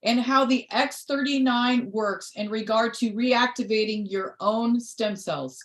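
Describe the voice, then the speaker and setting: A woman's voice: a little high, unhurried, reverberant. A woman hosting a video call, asking a guest direct questions.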